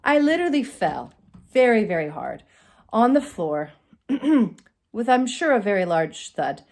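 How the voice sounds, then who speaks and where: A middle-aged woman telling a personal comic story to camera in a theatrical, expressive way with dramatic pauses. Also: mid-range voice. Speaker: storyteller, channel host. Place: indoors, small curtained room. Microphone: phone at arm's length.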